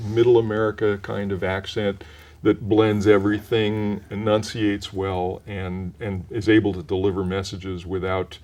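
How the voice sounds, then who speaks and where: Mellow older man explaining at length. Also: on the low side. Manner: measured, explanatory, with frequent restarts. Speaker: voice actor guest. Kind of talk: interview answers, explanatory.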